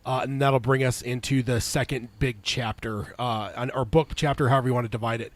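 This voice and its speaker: A man's voice: mid-range voice, fairly even intonation, a little fast, dry recording. Congested man co-hosting a podcast. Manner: rambling, many "uh" fillers. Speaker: podcast co-host. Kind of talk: casual podcast chat.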